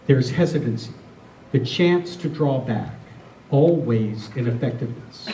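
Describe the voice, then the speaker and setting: A man gives a reflective spiritual talk in a soft, mellow voice of medium pitch. He is earnest and deliberate, circling back over his phrases. The sound is echoey and picked up from some distance. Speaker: spiritual speaker. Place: room with a listening group. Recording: roomy, distant mic, faint noise.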